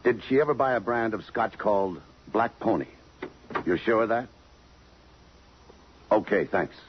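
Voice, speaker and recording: An actor playing a tough, terse, dryly commanding homicide detective in a 1950s radio drama. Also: male voice, on the low side, animated, a little slow. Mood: businesslike. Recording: muffled, noisy 1950s radio broadcast.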